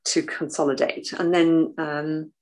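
A woman in her sixties with a soft voice, talking animatedly as a guest on a video call from a room at home. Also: low voice, unhurried. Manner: animated, waves arms while talking. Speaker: guest author and artist. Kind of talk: casual video call interview.

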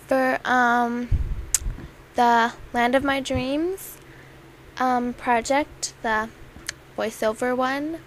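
A young woman amateur auditioning for voice-over, speaking in a halting way with occasional stumbles over words. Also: high voice. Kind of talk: casual intro and slow poem recitation. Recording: noisy amateur home recording.

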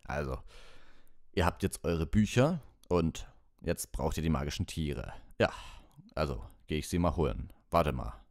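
A huge, kindly storybook gamekeeper, played by a man with a very deep voice. He talks in simple, folksy, slightly halting phrases, like a bumbling new teacher. The sound is dry and close. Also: expressive, dry recording, heavily acted. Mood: unsure, gentle.

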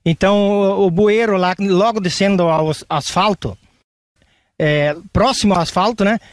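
An older man with a Brazilian Portuguese accent answering interview questions outdoors in a yard, speaking haltingly with frequent tag questions. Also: sits high, no echo, studio quality. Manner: halting, plain-spoken with many tag questions. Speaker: interviewed landowner. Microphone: reporter's handheld microphone.

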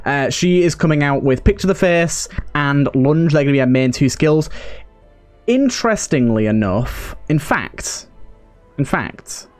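A man's voice: a little high, animated, unhurried. Man hosting a game roleplay, music behind. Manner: rambling, thinking aloud. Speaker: host of a video game roleplay series. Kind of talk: casual game commentary with improvised storytelling.

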